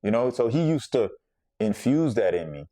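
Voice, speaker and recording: A man's voice: medium pitch, very animated, moderate pace, mellow, no echo, studio quality. A man from the Bahamas hosting a podcast, telling a personal story in a reflective, conversational way and circling back to repeat his points. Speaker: podcast host.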